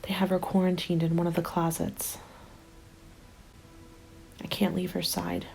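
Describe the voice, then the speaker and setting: A woman playing a haunted, determined zombie apocalypse survivor in an ASMR roleplay, speaking in a soft, breathy half-whisper with an intimate, deliberate delivery. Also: fairly low pitch, level tone. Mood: grieving, bleak. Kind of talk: ASMR roleplay, dramatic monologue. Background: background music.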